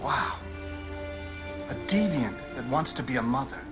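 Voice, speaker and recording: An actor playing a sinister, smug man who experiments on androids in a video game, with a slow, mock-polite, condescending delivery. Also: medium pitch, lively intonation, close to an everyday voice. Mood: mocking, cold. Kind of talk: dramatic video game acting. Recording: game music behind the voice.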